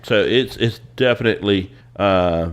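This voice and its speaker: Congested low-voiced man chatting. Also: clean tone. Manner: relaxed, drawling, with many "uh" pauses. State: congested from a cold. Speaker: podcast co-host. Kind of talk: casual chat.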